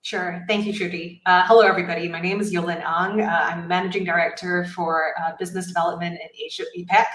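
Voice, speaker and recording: A woman's voice: lowish voice, flat delivery, fast, few pauses, fairly bright tone, echoey room. A woman panelist, a managing director, introducing herself steadily with light fillers.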